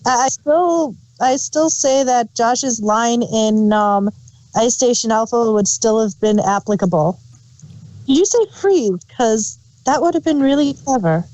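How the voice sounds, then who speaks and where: A woman's voice: a little high, unhurried, a little mellow, dry recording, poor audio. A woman bantering casually as a podcast co-host in a review discussion.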